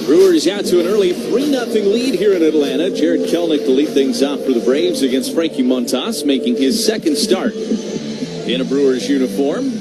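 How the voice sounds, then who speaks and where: A man's voice: on the high side, lively intonation, normal speed, loud music behind the voice, poor audio. A man calling baseball play-by-play live on the radio. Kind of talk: live radio sports commentary.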